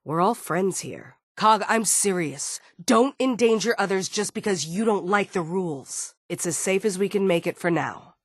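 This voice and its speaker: A woman's voice: medium pitch, expressive, normal speed, few pauses, no room sound, clean studio sound, natural voice. A woman voicing a stern, guarded android security chief in a video game, firm and businesslike. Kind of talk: scripted game dialogue.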